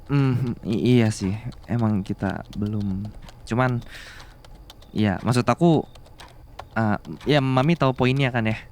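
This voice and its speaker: A young man with an Indonesian accent playing a shy, adoring office worker in love with his boss, in an intimate ASMR roleplay, speaking haltingly and affectionately. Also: mid-range voice, a little hiss or hum, close to an everyday voice. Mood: sheepish, casual. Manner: hesitant, halting, softly affectionate. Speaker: voice actor in an audio roleplay.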